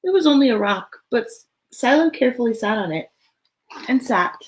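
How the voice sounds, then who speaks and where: A woman reading a picture book aloud to children in an expressive storytelling way, with casual, chatty asides. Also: fairly high pitch, brisk, poor audio.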